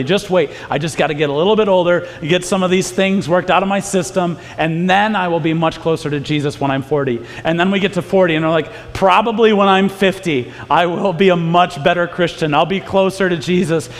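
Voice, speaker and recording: Joking pastor in a reverberant hall. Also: male voice, sits high. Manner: joking, self-deprecating, frequent restarts. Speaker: pastor teaching a Bible study. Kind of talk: casual conversational sermon.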